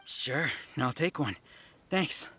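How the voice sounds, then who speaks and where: An actor playing a tough, teasing wounded soldier in a video game, speaking in a dry way. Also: male voice, fairly high pitch, lively intonation, slow, sharp tone, husky, heavily acted. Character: tough, teasing injured male soldier, keen to fight.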